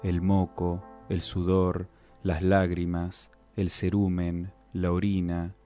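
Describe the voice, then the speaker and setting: A man reciting a literary text in a measured, deliberate, list-like way. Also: sits low, monotone. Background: soft plucked guitar music.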